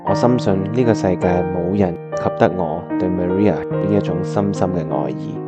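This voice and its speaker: A man's voice: deep voice, moderate pace, close to an everyday voice. An actor with a Hong Kong accent playing a lovesick young male pianist, narrating a scripted radio drama in an earnest, melodramatic way. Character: lovesick, heartbroken young male pianist hiding his feelings. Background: piano music.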